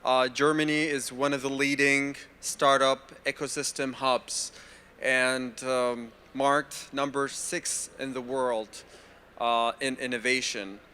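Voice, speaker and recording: A man emceeing a conference, introducing guests in a slow, halting way. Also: medium pitch, little pitch movement, pushed and bright, smooth. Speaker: event emcee. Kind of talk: stage announcement. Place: conference stage.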